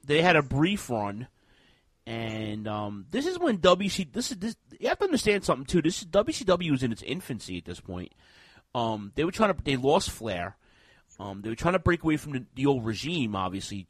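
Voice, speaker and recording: A man co-hosting a casual wrestling podcast, talking in a rambling, animated way with many restarts. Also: mid-range voice, brisk, breathy edge, no echo. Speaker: podcast co-host.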